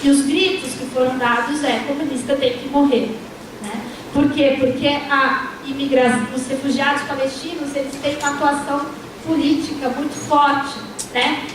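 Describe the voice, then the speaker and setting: A woman's voice: sits high, fairly even intonation. A Brazilian woman lecturer giving an academic panel talk with slides, explaining steadily and tagging her sentences with frequent "né". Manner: frequent "né" tags, measured explanatory delivery. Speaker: university lecturer. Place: lecture hall. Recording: echoey hall from far off with noise.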